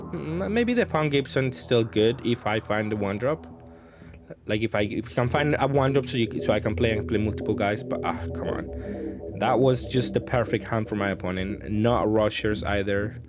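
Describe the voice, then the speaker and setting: Man thinking aloud, music behind. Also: lowish voice. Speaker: card game player commentating on own matches. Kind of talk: casual gameplay commentary.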